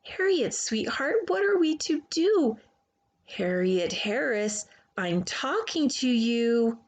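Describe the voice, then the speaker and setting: A woman voicing an exasperated but loving storybook mother, with drawn-out, pleading emphasis. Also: on the high side, very animated, heavily acted. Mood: exasperated, restrained.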